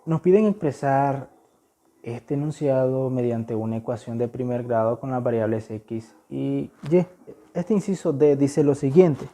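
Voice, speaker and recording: A man's voice: mid-range voice, warm tone. A man teaching a math lesson, explaining step by step, patiently repeating key points and stressing important words.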